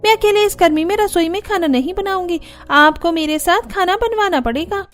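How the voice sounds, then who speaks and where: A woman's voice: very high voice, fairly quick. A woman voicing a young, whiny and indignant daughter-in-law in a cartoon story, complaining dramatically. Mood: sarcastic, annoyed. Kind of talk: Hindi cartoon story dialogue. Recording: music under the voice.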